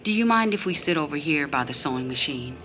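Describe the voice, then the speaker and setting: A woman's voice: low-pitched, expressive, fast talker, music in the background. An actress playing a tired, numb, poor mother, wary and protective, with blunt questioning, in an audio drama. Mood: protective, coaxing. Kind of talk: scripted audio drama acting.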